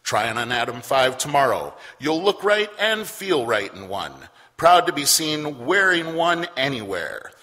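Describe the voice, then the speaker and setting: A man plays a polished old-time radio announcer and pitchman. His delivery is emphatic and bright, with animated rises and falls, carrying through a reverberant theater. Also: measured pace, heavily acted. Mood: upbeat, persuasive. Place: theater stage. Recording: echoey theater stage.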